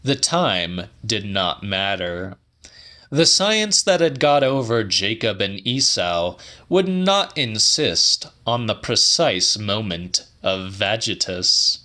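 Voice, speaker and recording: A man reading literary fiction as an audiobook, with deliberate, precise and slightly dramatic delivery. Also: lowish voice, very animated, sharp tone. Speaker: audiobook narrator.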